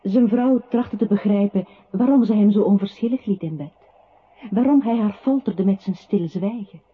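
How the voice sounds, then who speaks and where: An actress playing a narrating voice in a literary radio play, observing a composer who withdraws into silence. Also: female voice, medium pitch, moderate pace, breathy edge, lightly acted. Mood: sombre. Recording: muffled, noisy old radio recording.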